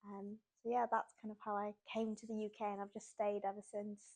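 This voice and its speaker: A woman's voice: medium pitch, completely flat delivery, brisk, darkish tone. A young woman from Sweden, chatting casually as an author guest in an interview and using lots of "like" fillers.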